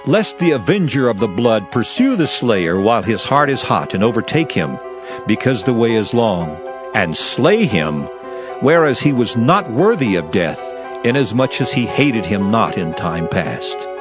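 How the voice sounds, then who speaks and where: A man's voice: mid-range voice, very animated, a little slow, over music. A man narrating dramatized scripture in a solemn, declamatory manner.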